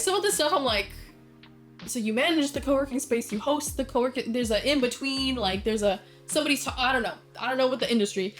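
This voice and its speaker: A young woman vlogging casually about brand design, chatty and full of fillers, with self-deprecating asides. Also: fairly high pitch.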